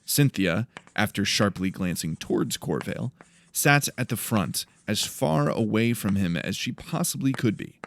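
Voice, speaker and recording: A man's voice: lowish voice, animated, normal speed, creaky, fairly bright tone, a little hiss or hum. A man narrating dramatic fiction from a script as an audiobook storyteller. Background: faint music.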